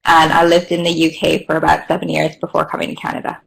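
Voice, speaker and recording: A woman from Barbados speaks in a low voice with a gravelly edge of vocal fry. She gives a friendly, fluent self-introduction over a video call. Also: completely flat delivery, fast, fairly bright tone, low-quality recording. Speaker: NGO media and government relations manager.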